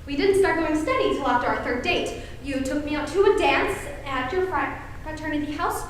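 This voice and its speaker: A woman's voice: high-pitched, moderate pace, lightly acted. A teenage girl acting a bossy, fussy married hostess in an amateur stage play, with an emphatic manner. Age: teens. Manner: gushing, scolding, emphatic. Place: high school stage, filmed play. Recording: echoey stage, distant mic, faint noise.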